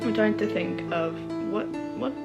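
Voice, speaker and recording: A woman's voice: mid-range voice, a little slow, vocal fry, loud music behind the voice. A young woman, a native French speaker, casually explaining reading prompts, rambling and correcting herself, laughing often. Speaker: book vlogger.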